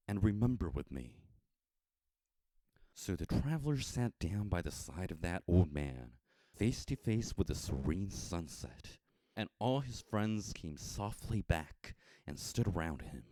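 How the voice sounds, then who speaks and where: An American man reading a literary story in a measured, solemn manner. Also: low-pitched, warm tone, no room sound. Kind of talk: scripted storybook narration.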